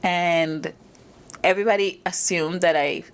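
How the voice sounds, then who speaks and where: A woman's voice: fairly low pitch, steady tone, unhurried. A woman from Venezuela, a guest in an interview, telling stories about her upbringing with frequent restarts. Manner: storytelling, frequent restarts and "you know". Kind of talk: conversational interview.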